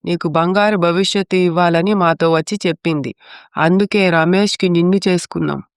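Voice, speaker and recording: Woman doing older mom-in-law, chiding but caring, smooth clear voice, family story, dry. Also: lowish voice, steady tone, a little fast, dry recording, natural voice. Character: elderly mother-in-law, caring but reproachful.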